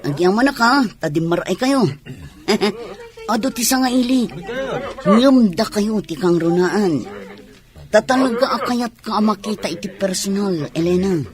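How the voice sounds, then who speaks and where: An actress playing an outspoken, teasing woman in a radio comedy drama, with an expressive, emphatic delivery. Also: medium pitch, measured pace, a crowd in the background, lightly acted. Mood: teasing, friendly.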